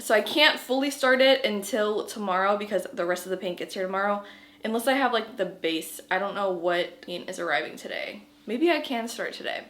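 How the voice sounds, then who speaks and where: A woman's voice: medium pitch, a bit bright. A young adult woman chatting casually to her camera in her art studio, bubbly, with lots of filler words. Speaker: artist vlogger. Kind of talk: casual vlog chat. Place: home art studio, indoors. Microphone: handheld camera.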